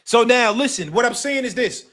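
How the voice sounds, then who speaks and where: A man hosting a live boxing talk stream, talking casually and emphatically, repeating his points, swearing and bursting into shouts. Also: extremely high pitch, expressive, moderate pace.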